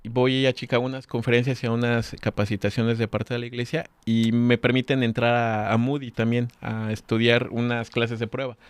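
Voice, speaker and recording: A man's voice: mid-range voice, little pitch movement, normal speed, hardly any pauses, clean tone, no room sound. A man, telling his story as a guest in an earnest, searching way. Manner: earnest, restarts words while thinking. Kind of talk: casual conversation.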